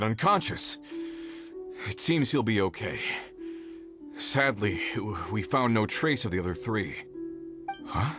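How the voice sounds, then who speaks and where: A man's voice: medium pitch, lightly acted. Earnest male crew leader for a video game, played by a man: mid-range voice, courteous, dutiful, over music. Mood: concerned. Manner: polite, earnest. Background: background music.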